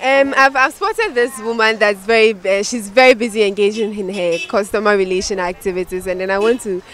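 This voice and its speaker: A woman's voice: medium pitch, fairly even intonation, fairly quick, a bit bright, faint music behind. A young woman interviewing people outdoors on a handheld mic, polite and switching between English and Twi. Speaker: street interviewer. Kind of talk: street interview. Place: outdoors at roadside shops.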